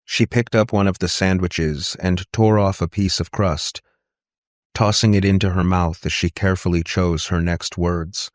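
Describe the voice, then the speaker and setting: A man's voice: deep voice, steady tone, normal speed, no echo, clean studio sound. A man reading a scripted dramatic story as an audiobook narrator.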